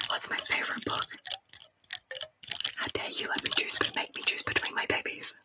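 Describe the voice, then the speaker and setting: A woman whispering into a close mic at her home desk, rambling chattily to a live stream audience. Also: normal speed. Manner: soft rambling whisper, chatty and self-deprecating. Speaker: ASMR streamer. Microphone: sensitive close mic.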